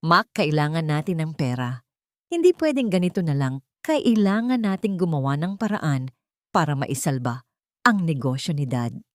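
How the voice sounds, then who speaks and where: A woman narrator plays a desperate wife in an audio drama, pleading in a lowish Filipino-accented voice. The recording is dry. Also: sing-song, moderate pace, dry recording. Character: desperate young wife drowning in family debt.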